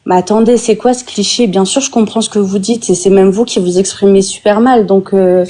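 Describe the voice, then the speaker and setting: A French-born woman being interviewed, defending her views earnestly and haltingly with many fillers. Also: mid-range voice, steady tone, mellow. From France. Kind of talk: defensive personal account.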